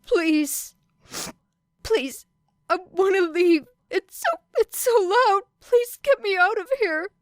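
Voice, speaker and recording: A woman's voice: very high voice, animated, slow pace, dry recording, studio quality, pitched above the performer's own voice, heavily acted. A woman voicing a crafty girl who pretends to be a frightened, sobbing child, with a wavering, breaking voice. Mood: tearful, pleading.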